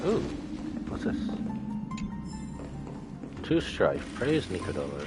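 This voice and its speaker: Very animated male game streamer. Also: deep voice, slow pace. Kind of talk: casual live game reaction commentary. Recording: game music under the voice.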